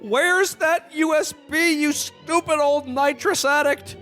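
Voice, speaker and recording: A man's voice: very high-pitched, fairly even intonation, takes it slowly, sharp tone, soft background music, heavily acted. A man playing an earnest, indignant everyman in a parody radio play, stammering and exasperated in a folksy old-movie manner. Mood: desperate, angry. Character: flustered, indignant earnest everyman podcaster hero.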